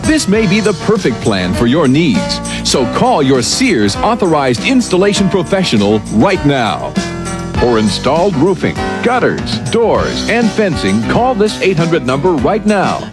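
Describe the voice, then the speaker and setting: Male ad announcer, slightly high, animated delivery, clear music bed. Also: unhurried. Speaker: commercial announcer. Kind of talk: scripted TV-style sales pitch.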